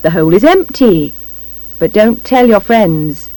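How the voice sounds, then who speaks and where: A woman's voice: medium pitch, expressive, a little slow, dark tone, close to an everyday voice, poor audio. A woman voicing a jolly, teasing village man, a practical joker in a storybook, with emphatic delivery. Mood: confiding. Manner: calling out, emphatic. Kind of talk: dramatised storybook reading.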